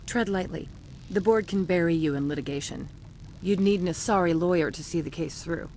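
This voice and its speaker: A woman's voice: lowish voice, a little fast, darkish tone, slight background noise, lightly acted, low-quality recording. Woman as a formal, guarded corporate assistant. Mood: polite, businesslike. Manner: formal, businesslike.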